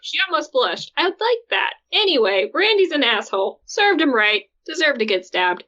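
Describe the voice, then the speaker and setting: A woman's voice: high voice, big pitch swings, moderate pace, sharp tone, dull audio. A woman co-hosting a comedy podcast, reading a story aloud and giggling as she goes. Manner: reads aloud, breaking into frequent giggles. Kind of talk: casual comedic read-along.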